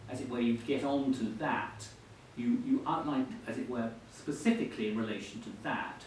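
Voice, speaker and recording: A man acting as interviewer in a literary interview before an audience, putting his questions in a hesitant, roundabout way. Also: moderate pace. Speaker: interviewer at a book event. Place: echoey hall at a live book event. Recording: very echoey, distant mic, faint rumbling noise.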